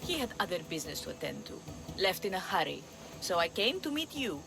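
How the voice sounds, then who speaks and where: An actress playing a sly, flippant pirate schemer in a video game, with a teasing, casual way of talking. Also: female voice, fairly low pitch, sing-song, brisk. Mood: coy, flippant. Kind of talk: video game cutscene dialogue acting. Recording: clear background ambience noise.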